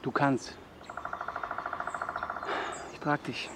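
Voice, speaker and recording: A man's voice: drawn-out words, heavy background noise. An actor playing a stubbornly loyal male companion in a radio play, speaking in insistent, pleading short phrases. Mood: insistent, encouraging. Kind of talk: naturalistic German radio play acting.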